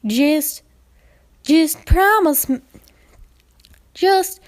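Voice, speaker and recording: A woman's voice: high-pitched, lively intonation, drawn-out words, voice pushed up, full character voice. A woman voicing a young female pony, a pleading little sister, in a cartoon fan story reading. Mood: pleading, upset.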